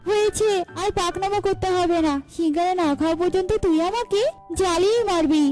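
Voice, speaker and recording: A woman's voice: very high-pitched, a little fast, over music. A woman voicing a kind, principled mother bird in a children's cartoon, chatty, earnest and motherly. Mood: fond, chatty.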